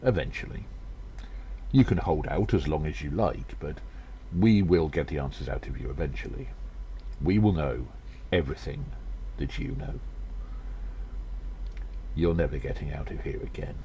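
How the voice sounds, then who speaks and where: Man acting a stern, deep-voiced spy handler. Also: expressive, moderate pace, dark tone, a little hiss or hum. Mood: cold menace. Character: stern, secretive male spy handler, calmly menacing.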